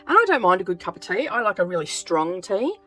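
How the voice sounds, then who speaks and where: A woman with a croaky voice chatting casually as she sews, thinking aloud with lots of ums. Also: medium pitch, big pitch swings, fast, a bit bright, soft background music. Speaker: craft vlogger. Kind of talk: casual craft vlog chat.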